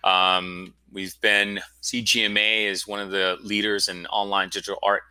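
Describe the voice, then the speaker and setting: A man's voice: on the low side, flat delivery, normal speed, bright, forceful tone, clear, resonant tone, dry recording, low-quality recording. A man in his forties from Florida, a guest telling his story in a casual, rambling interview. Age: 40s. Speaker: guest, school founder.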